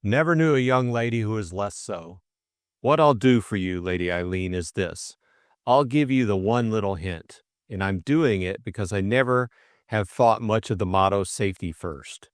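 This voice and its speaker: A man voicing a calm, firm, plain-spoken police superintendent in an audiobook, speaking in a quiet, measured way. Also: fairly low pitch, no room sound, studio quality, lightly acted. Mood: firm, frank. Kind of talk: audiobook character acting.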